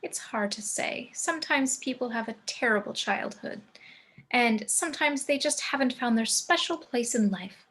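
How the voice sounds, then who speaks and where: A woman playing a composed, loving, gently macabre gothic mother in a table read, soothing and deadpan. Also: a little high, normal speed, husky.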